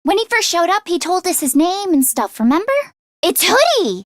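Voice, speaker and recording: Very high-pitched nervous teenage game girl. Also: normal speed. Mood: puzzled, casual. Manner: expressive, casual youthful phrasing. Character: teenage girl, nervous, queasy, handy academy fighter. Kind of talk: English video game voice acting.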